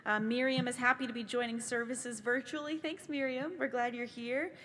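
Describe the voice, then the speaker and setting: A woman's voice: on the high side, fairly even intonation, moderate pace, a little hiss or hum. A woman leading a live prayer service in a synagogue sanctuary, speaking in an upbeat, encouraging way into a handheld mic. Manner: warm, upbeat, encouraging congregation chatter. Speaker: service leader. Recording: long hall reverb.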